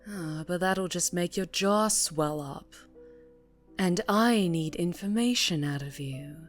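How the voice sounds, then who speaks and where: A woman playing a sadistic, seductive mafia boss in an ASMR roleplay, teasing and menacing, often dropping into breathy whispers. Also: fairly low pitch. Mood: teasing menace.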